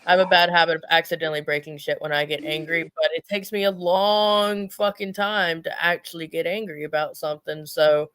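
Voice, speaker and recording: A woman's voice: sits low, fairly even intonation, fairly quick, few pauses, a bit bright, smooth, no room sound, poor audio. A woman chatting casually as a talk show panelist, blunt and swearing freely.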